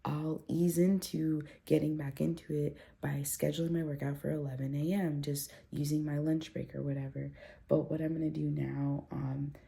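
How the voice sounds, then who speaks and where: A woman's voice: deep voice, level tone, moderate pace, creaky, mellow. A woman in a casual home vlog, rambling and chatty with many fillers, a little congested from a cold, filmed on her phone. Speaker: vlogger. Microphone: phone camera.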